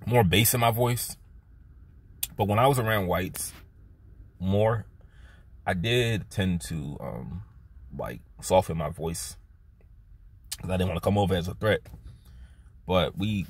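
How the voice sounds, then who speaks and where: Deep-voiced young man talking in a car. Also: breathy edge. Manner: halting, with many restarts and fillers, pitch jumping up on stressed words. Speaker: vlogger. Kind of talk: casual opinion monologue to camera. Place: inside a parked car by day. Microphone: phone camera held at arm's length.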